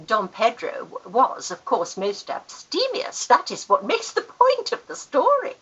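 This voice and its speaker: Actress doing a posh-mannered society aunt in a farce, high and wildly swoopy, emphatic, bit muffled. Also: female voice, wildly swooping pitch, a little slow, fairly bright tone, husky, full character voice. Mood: gushing storyteller. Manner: theatrical, emphatic, genteel. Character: grand, gushing, easily affronted society aunt. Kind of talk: Victorian farce radio acting.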